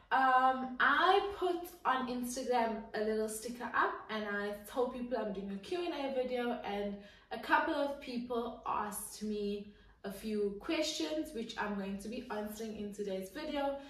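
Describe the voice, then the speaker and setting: A woman's voice: fairly high pitch, expressive, unhurried. A woman in her twenties answering viewer questions in a chatty, giggly vlog with lots of fillers. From South Africa. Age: 20s. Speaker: vlogger. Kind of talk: casual Q and A vlog. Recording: echoey room, distant mic.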